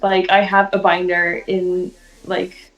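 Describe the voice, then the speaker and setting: A woman's voice: lowish voice, completely flat delivery, slow pace, a bit bright, dull audio. A Dutch woman in her thirties with an Irish accent, chatting casually as a guest illustrator on a video call, laughing often. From Netherlands. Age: 30s. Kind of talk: casual chat.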